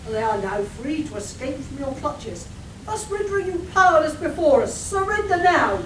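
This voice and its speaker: A noisy, echoey live recording of a woman as a bold radio-play heroine. The voice is high and very animated, full of melodramatic boasting. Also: normal speed. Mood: triumphant. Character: triumphant, boastful young heroine adventurer. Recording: echoey, noisy room from far off.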